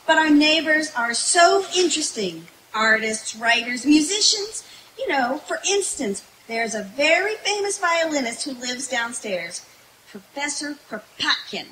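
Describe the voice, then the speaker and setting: Woman playing a high-voiced flustered radio comedy secretary. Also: very animated, measured pace, background noise. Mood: nervous chatter. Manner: flustered, hesitant, exclaiming. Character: flustered, long-suffering young secretary narrating her woes. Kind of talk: old-time radio comedy acting. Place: outdoor woodland stage, live performance. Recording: echoey live outdoor stage sound.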